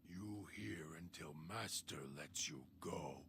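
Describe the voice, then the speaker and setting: A man's voice: lowish voice, big pitch swings, gravelly, background noise, heavily acted. A man voicing a feral, broken-minded servant who calls himself Dog and talks of himself in broken third person. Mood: haunted. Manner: halting, childlike, fearful of an inner voice.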